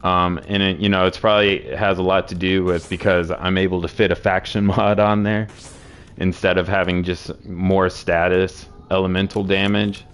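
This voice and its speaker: A hoarse man with a sore throat casually walking through game builds, rambling with many fillers. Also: sits low, flat delivery, moderate pace, fairly bright tone. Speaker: game streamer explaining builds.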